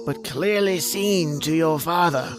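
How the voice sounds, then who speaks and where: A woman playing a grave family doctor in a radio horror drama, speaking in a measured, formal way. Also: sits low, music in the background. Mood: grave, uneasy. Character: grave family doctor attending a dying man. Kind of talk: old-time radio horror acting.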